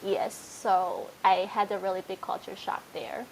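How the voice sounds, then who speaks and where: A woman's voice: medium pitch, little pitch movement, a little slow, gravelly, a little hiss or hum, low-quality recording. A young woman from Taiwan, a native Mandarin speaker, answering interview questions in a modest, casual way with frequent laughs. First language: Mandarin Chinese. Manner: modest, laughs often, simple phrasing with fillers. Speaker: interview guest.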